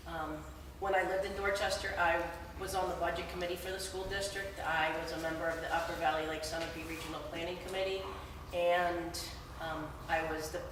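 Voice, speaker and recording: Distant woman in an echoing hall. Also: on the low side. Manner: hesitant, many um fillers. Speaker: school board candidate. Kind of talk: campaign speech at a public meeting. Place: large echoey public hall. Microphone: no microphone, far from the recorder. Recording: roomy hall echo, distant and noisy.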